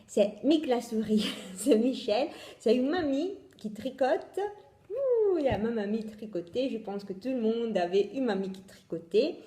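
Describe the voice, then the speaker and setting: A woman with an Italian accent hosts a homemade knitting podcast, speaking slowly with a warm, mellow voice. She hesitates a lot, filling gaps and restarting her sentences. Her voice rings in a roomy, echoey space. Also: medium pitch. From Italy. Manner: hesitant, many fillers and restarts. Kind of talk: casual video podcast chat. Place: home in Italy.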